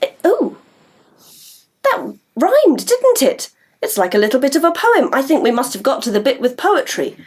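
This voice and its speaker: British woman, high voice, swooping intonation, children's storytelling, encouraging participation. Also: normal speed. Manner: animated, coaxing listeners to join in. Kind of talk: interactive storytelling for young children.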